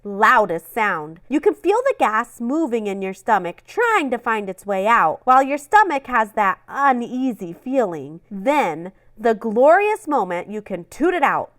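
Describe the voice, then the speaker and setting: Woman reading a silly kids book out loud, lively swoopy voice, dry close mic. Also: on the high side, no room sound. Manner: playful and animated, with little acted asides. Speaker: storybook reader. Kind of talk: expressive children's book read-aloud.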